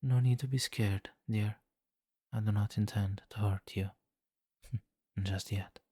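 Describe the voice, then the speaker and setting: A man voicing a seductive, menacing vampire king in an intimate ASMR fantasy roleplay, slow and commanding, with a condescending, teasing amusement. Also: lowish voice, steady tone, dark tone, husky, dry recording. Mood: amused, smug. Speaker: roleplay voice performer.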